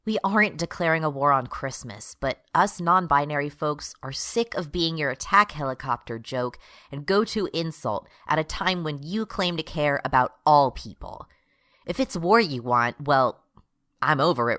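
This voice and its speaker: A woman's voice: low-pitched, expressive, moderate pace, a bit bright. A woman playing an exasperated non-binary retail worker turned rebel leader, ranting in a radio drama monologue. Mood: weary defiance.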